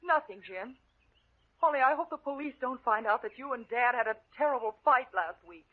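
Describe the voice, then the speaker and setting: An actress playing a young, anxious, suspicious heiress in a 1940s radio melodrama. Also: female voice, high-pitched, a little fast, close to an everyday voice. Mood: worried, suspicious. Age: young adult. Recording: noisy, narrow old radio recording.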